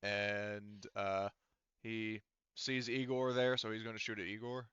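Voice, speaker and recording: A man running a casual online tabletop roleplaying game as game master, thinking aloud haltingly as he works through the rules. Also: lowish voice, little pitch movement, a bit bright, clean tone, no echo, muffled recording.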